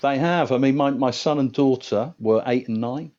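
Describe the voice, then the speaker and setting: A man's voice: mid-range voice, normal speed, darkish tone. A man from Reading who volunteers at the festival, chatting in an interview with lots of repeats and hesitations. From England, Reading. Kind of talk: casual interview chat. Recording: dull lo-fi audio.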